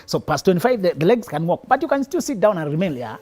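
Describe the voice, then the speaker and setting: A male psychologist from Kenya gives relationship advice on a live show. His pitch swings widely as he talks. He sounds preachy and playful, often breaking into laughter. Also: big pitch swings, quick pace, darkish tone. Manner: preachy, laughs often, teasing punchlines, repeats words. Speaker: psychologist guest.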